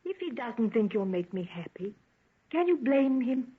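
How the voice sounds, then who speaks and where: An actress playing an anxious, devoted young fiancée in an earnest, pleading golden age radio drama style. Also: female voice, fairly high pitch, sing-song, moderate pace, husky. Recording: tinny band-limited vintage radio recording.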